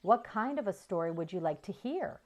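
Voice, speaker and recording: A woman voicing a helpful female farm robot in a storybook, with measured, formal phrasing. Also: mid-range voice, expressive, a little mellow, highs cut off, close to an everyday voice. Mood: obliging. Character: helpful, literal-minded female farm robot telling stories.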